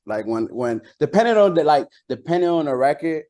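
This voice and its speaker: A man's voice: fairly high pitch, big pitch swings, a little fast, mellow. Casual, colloquial man from Costa Rica. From San Jose, Costa Rica. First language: Spanish. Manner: colloquial, peppered with "like" and "you know". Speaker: interview guest, bilingual rapper and songwriter. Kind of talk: casual interview chat.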